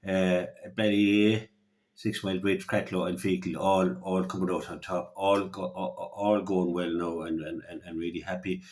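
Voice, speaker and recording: A man with an Irish accent and a very deep voice talks through local sport as a radio commentator. He speaks without a script, giving opinions as he goes. His delivery is halting, often repeating a word several times while he finds the next phrase. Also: flat delivery, a little mellow. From Ireland. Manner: halting, repeats words as he gathers his thoughts.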